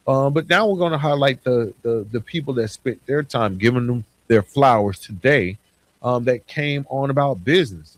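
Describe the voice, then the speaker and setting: A man hosting a podcast alone in a reflective monologue, stressing points by repeating phrases. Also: fairly low pitch. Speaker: podcast host. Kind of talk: solo podcast monologue, reflective year-end recap.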